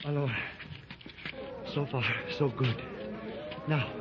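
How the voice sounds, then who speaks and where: A man playing an excitable French companion with a French accent in a 1930s radio drama, flustered and full of French exclamations. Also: mid-range voice, slow, close to an everyday voice. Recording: dull lo-fi 1930s radio recording.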